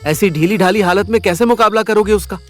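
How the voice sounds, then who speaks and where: A man playing a level-headed young hero of an audio drama. His voice sits fairly high and he speaks firmly, taking charge and reassuring. Soft music runs underneath. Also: a little fast, lightly acted. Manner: firm, reassuring. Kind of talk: audio drama acting in Hindi with English words. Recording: music bed behind voice.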